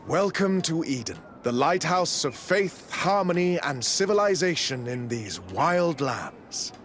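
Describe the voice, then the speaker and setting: An actor playing a zealous, smooth-talking priest in a video game, formal, preachy and condescending. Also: male voice, fairly high pitch, big pitch swings, measured pace, a bit bright, slight background noise. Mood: grandly welcoming. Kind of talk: scripted video game dialogue.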